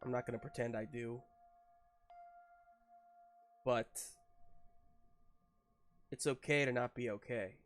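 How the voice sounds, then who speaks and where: A man plays a tough woman superhero in a tabletop game. She is blunt and swears casually, offering comfort in an awkward, halting way. Faint music sits underneath. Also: mid-range voice, fairly even intonation, natural voice.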